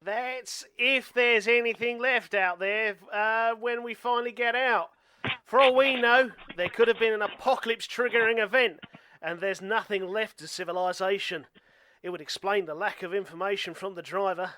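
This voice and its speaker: Man acting a pompous, bright, high-pitched doom-monger. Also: steady tone, moderate pace, smooth. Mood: gloomy. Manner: pompous, wordy. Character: indignant doom-mongering male train passenger. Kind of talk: amateur radio play acting.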